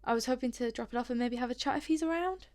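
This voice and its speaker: A woman's voice: sits high, very fast, a little mellow, close to an everyday voice. A woman acting a polite, hesitant and sceptical visitor in a naturalistic audio drama, rambling a little awkwardly. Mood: hesitant, chatty.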